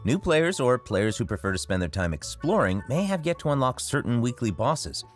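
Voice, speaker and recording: A man's voice: medium pitch, big pitch swings, moderate pace, faint music behind. A man co-hosting a game update show in an upbeat, semi-scripted presenting style.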